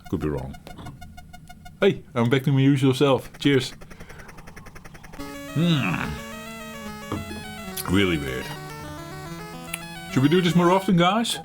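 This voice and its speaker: A middle-aged man hosting his retro gaming channel, talking while he plays in a halting, reflective way, searching for words and laughing at himself. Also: mid-range voice, wildly swooping pitch, faint music behind. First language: Dutch. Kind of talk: reflective gameplay commentary.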